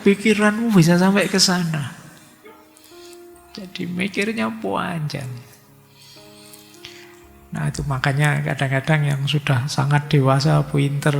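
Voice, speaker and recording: Gravelly guy preaching casual, kinda high voice, echoey hall, faint music under it. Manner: chatty, mixes in Javanese words and acts out worried thoughts. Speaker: lecturer on philosophy and religion. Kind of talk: casual religious lecture.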